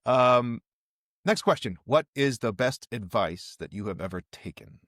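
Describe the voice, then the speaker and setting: A man's voice: mid-range voice, lively intonation, unhurried, fairly bright tone, no room sound, clean studio sound. A man hosting a casual podcast interview, speaking in an encouraging, affirming way. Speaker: podcast host interviewing an actor.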